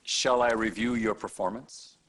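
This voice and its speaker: An actor playing a sarcastic, condescending hospital supervisor in a video game cutscene, with theatrical, deliberate pauses. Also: male voice, fairly low pitch, steady tone, fairly bright tone. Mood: dry, condescending. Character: sarcastic, condescending male hospital boss berating a doctor.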